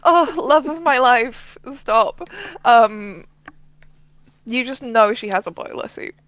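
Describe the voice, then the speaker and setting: A woman co-hosting a show in casual chat, giggly and gushing like an excited fan. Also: a little high, slow pace, no room sound. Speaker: show co-host.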